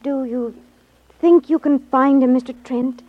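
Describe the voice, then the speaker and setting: Earnest high-voiced young radio heroine. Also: female voice, steady tone, a little slow, no echo. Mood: earnest, worried. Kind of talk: 1950s radio drama acting. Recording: dry, dull 1950s radio recording.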